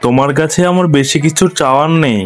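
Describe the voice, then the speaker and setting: A man voicing a gentle, worried widowed village father in a children's cartoon, earnest and soothing. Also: a little high, fast, lightly acted. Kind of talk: children's moral cartoon acting. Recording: faint music behind the voice.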